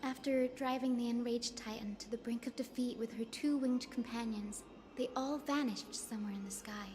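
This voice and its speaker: Actress as an earnest, high-voiced young woman guide. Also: steady tone, normal speed, darkish tone, a little hiss or hum, close to an everyday voice. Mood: solemn. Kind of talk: video game voice acting, storytelling. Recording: music behind the voice.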